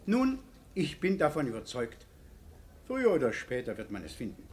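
A formal, self-assured public prosecutor in a 1970s radio play. The high voice moves with great range, deliberate and declamatory. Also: male voice, pushed and bright, full character voice.

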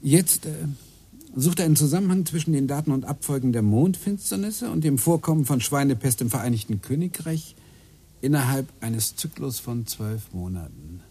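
A middle-aged husband in a radio play, obsessed with finding hidden patterns. He talks with eager, earnest insistence and a lively, wide-ranging pitch. His voice is mellow and quite husky. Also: normal speed. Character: obsessive husband hunting coincidences.

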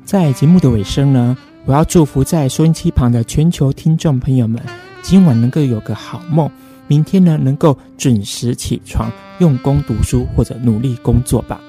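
A man hosts a late-night radio show with a very dark, mellow voice. His manner is polite and soothing as he talks and reads. Faint plucked guitar plays underneath. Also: mid-range voice, moderate pace. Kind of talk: late-night radio hosting and scripture reading. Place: radio studio, live broadcast. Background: soft plucked guitar music.

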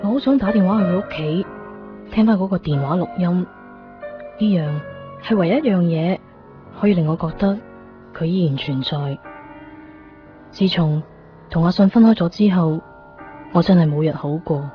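An actress playing a lovelorn, obsessive young woman in a Cantonese radio drama from Hong Kong, speaking a brooding, halting monologue. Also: on the low side, lightly acted. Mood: wistful. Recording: muffled 1990s radio drama over music.

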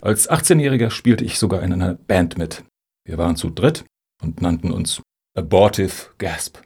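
An actor playing an earnest, self-justifying middle-aged music lobbyist in a radio satire, speaking in formal jargon. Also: male voice, sits low, normal speed, a little mellow, slightly rough, exaggerated voice. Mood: reminiscing. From Hamburg, Germany. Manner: formal, jargon-heavy, pompous.

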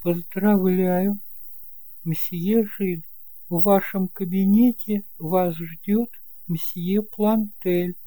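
Мужчина озвучивает заботливую, вежливую экономку в аудиоспектакле. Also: male voice, high voice, fairly even intonation, very slow, mellow, dry recording, pitched above the performer's own voice, poor audio. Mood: polite. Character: caring, respectful housekeeper woman, fussing and polite. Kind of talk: audiobook drama acting.

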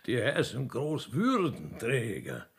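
A man's voice: mid-range voice, huge pitch swings, slow, heavily acted. A man playing a pompous, condescending Austrian aristocrat of old, speaking in a stilted, lordly way in a comic radio play. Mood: amused, patronising.

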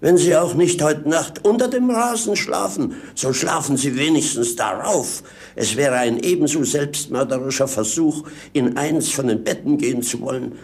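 An actor playing a cheeky, scheming comic servant with ironic, mock-pompous delivery in a radio play. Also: male voice, fairly high pitch, moderate pace, darkish tone, natural voice. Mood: wry, self-satisfied. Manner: ironic, declamatory, mock-formal. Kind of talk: stage-style German radio drama acting.

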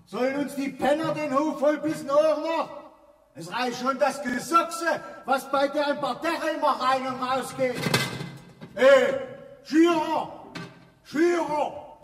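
A man's voice: very high-pitched, takes it slowly, fairly bright tone, soft background music, full character voice. An actor playing a nosy, bullying male neighbour in a radio drama, scolding and barking from far off in an echoing stairwell. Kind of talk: German radio drama acting. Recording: distant, echoey stairwell reverb.

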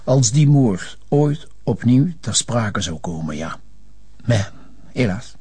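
An elderly Flemish notary in a television crime drama. He sounds husky and speaks in a halting, genteel way. He is resigned and lovelorn, given to French turns of phrase. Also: medium pitch, sing-song, warm tone, heavily acted. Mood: resigned, hesitant. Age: older. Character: resigned, lovelorn elderly male notary confessing old secrets.

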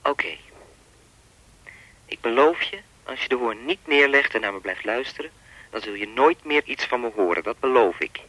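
An actor playing a sinister, persistent phone stalker in a Dutch radio play, talking in a pompous, wordy, coolly controlling way. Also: male voice, mid-range voice, animated, normal speed, pushed and bright, dry recording, rough recording. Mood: controlling, menacing.

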